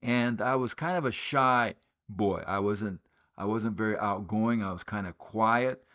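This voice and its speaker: A man's voice: lowish voice, unhurried, a bit bright, dull audio. A man from West Africa, born in Liberia and raised in Guinea, preaching a sermon, telling a story earnestly and asking rhetorical questions.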